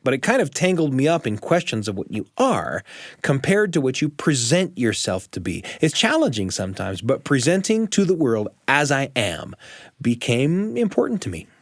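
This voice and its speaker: A man's voice: medium pitch, sing-song, moderate pace, no room sound, studio quality. A man hosting a podcast in a conversational storytelling way, musing and addressing the listener directly. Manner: storytelling, musing with rhetorical questions. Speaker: podcast host. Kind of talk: conversational reflection and show announcements.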